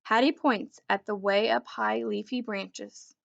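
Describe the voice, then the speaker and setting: A woman reading a children's book aloud as an expressive narrator. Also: mid-range voice, unhurried, vocal fry, dull audio. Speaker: storybook reader.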